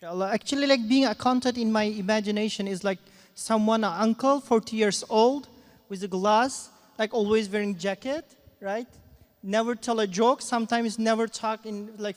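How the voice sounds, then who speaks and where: A man who speaks English as a second language, moderating a panel informally with halting, repetitive phrasing. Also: sits high. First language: not English. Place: event hall at a live panel. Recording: echoey hall with long reverb.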